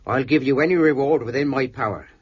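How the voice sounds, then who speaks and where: An actor playing a troubled, plain-spoken sailor in an audio drama, guarded and respectful. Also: male voice, medium pitch, quick pace, breathy edge, natural voice. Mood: wary, hopeful. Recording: muffled, noisy lo-fi audio.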